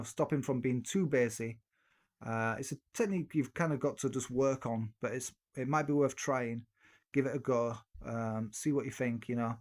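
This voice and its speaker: A man talking casually through a tutorial into a tilted handheld dynamic mic, rambling with many fillers and restarts. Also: mid-range voice. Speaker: video host giving singing mic tips.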